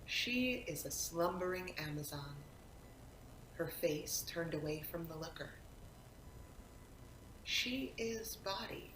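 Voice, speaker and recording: Woman, lowish voice, expressive poetry reading, dramatic pauses, roomy echo. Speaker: poetry reader, actor. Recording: roomy echo, faint rumbling background noise.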